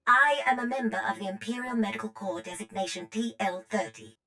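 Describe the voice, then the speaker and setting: A woman voicing a damaged medical droid in a video game, anxious, polite and pleading, with halting delivery. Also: medium pitch, bright, forceful tone, soft background music. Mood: nervous, deferential.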